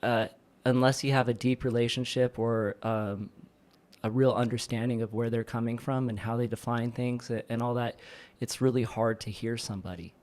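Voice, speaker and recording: Man, podcast guest, mid-pitched voice, earnest, halting, restarts often. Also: fairly even intonation, a little mellow. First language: English. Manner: earnest, searching for words, many restarts. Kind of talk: casual chat.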